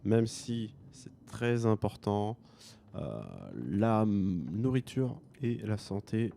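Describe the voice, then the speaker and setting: A man's voice: fairly low pitch, takes it slowly, creaky, dark tone, a little hiss or hum. A man with a French accent commenting a game he plays, joking and thinking aloud. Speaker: gaming streamer. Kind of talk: let's play commentary.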